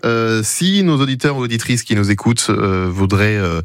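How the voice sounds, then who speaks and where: A man's voice: lowish voice, animated, moderate pace, dry recording, studio quality. A man with a French accent hosting a live local radio interview on a morning show.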